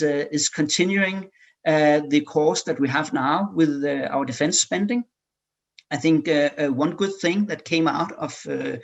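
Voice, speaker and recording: Man, panel speaker, halting, lots of fillers, slightly high pitch. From Denmark. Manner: hesitant, many filler sounds and repeated words. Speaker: panelist on foreign policy. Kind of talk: panel discussion remarks.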